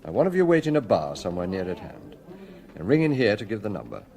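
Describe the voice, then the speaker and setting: An actor playing a shrewd, genial police chief in a British radio crime drama, brisk, commanding and probing. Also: male voice, lowish voice, big pitch swings, mellow.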